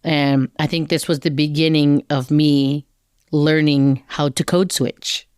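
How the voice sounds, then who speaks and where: A woman's voice: deep voice, fairly even intonation, slow pace, darkish tone, smooth, dry recording, clean studio sound. A young woman from the Los Angeles area hosting a podcast interview, asking follow-up questions and sharing her own story. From Los Angeles area, USA. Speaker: podcast host. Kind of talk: conversational interview.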